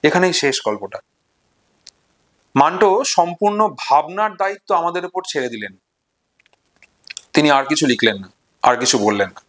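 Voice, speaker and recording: A man with a Bengali accent presenting literature, retelling and explaining stories in a deliberate way with pauses and repeated phrases for emphasis. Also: fairly high pitch, a bit bright. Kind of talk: explanatory storytelling and literary commentary.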